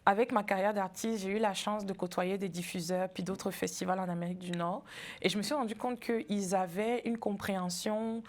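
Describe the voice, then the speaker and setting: A woman from Cameroon, a festival founder in her forties, talking in a friendly, thoughtful way in a TV interview, laughing easily and pausing with fillers. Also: mid-range voice, fairly even intonation. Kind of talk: TV interview conversation.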